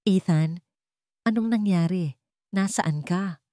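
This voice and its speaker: Audiobook heroine, played by a woman narrator: young, anxious, out for revenge, urgent delivery, lowish pitch. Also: takes it slowly, dark tone, no echo, clean studio sound. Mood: alarmed.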